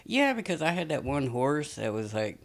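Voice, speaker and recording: Gravelly man in his thirties from Texas. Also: on the high side, normal speed. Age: 30s. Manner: relaxed, rambling storyteller with many fillers. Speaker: podcast guest. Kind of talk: casual interview talk. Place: small podcast studio indoors. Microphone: studio mic on a boom arm.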